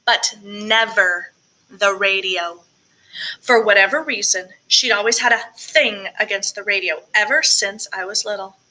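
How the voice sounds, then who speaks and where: A woman's voice: medium pitch, a little slow, sharp tone, poor audio. A woman reading a first-person story aloud with expression, as an audiobook narrator. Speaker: audiobook reader, choir director.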